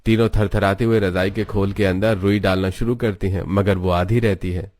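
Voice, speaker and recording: A man's voice: deep voice, fairly even intonation, moderate pace, darkish tone. A man reading scripted storybook narration for a cartoon.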